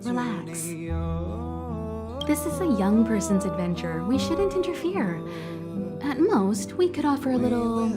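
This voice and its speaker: Poised mentor with a lilting voice. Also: female voice, a little high, sing-song, a little slow, long pauses, over loud music. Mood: calm, amused. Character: poised, serene mature woman mentor, gently teasing. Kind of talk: video game voice acting.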